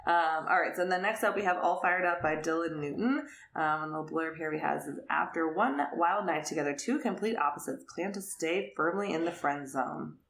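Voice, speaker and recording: A woman's voice: low-pitched, normal speed, gravelly, a bit bright. A woman co-hosting a podcast, chatting casually and enthusiastically about books. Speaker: podcast co-host. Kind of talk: casual book chat. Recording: roomy echo.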